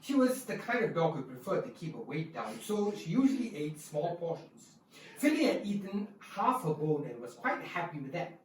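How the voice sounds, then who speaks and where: A man telling a dramatised story for children, expressive and speaking directly to his listeners. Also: on the high side, normal speed, a little hiss or hum. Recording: roomy, distant mic with faint music.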